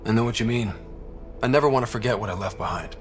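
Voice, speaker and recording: A man's voice: mid-range voice, fast talker, soft background music, natural voice. A man playing an earnest young space explorer in a video game, speaking in a plain, direct, easygoing way. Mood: friendly, casual.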